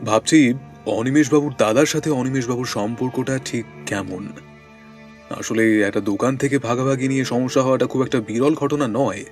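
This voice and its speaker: Man playing calm detective, measured, analytical, assured, medium voice, audio drama, faint music behind. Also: mid-range voice, close to an everyday voice. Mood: pensive, assured.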